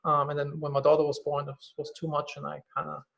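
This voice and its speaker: A quick, gravelly-voiced man with a German accent chats candidly on a podcast. His voice sits a little high for a man, and he talks in a loose, filler-heavy way, dropping the odd swear word. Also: completely flat delivery, a bit bright. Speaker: guest, company CEO. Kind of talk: casual podcast conversation.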